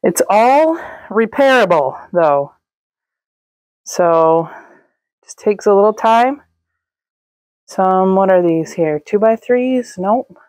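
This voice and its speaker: A young woman casually narrating a walkthrough of a camper she is fixing up, relaxed and chatty with frequent ums. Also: mid-range voice, slow pace, long pauses. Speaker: vlog host. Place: inside a gutted camper trailer.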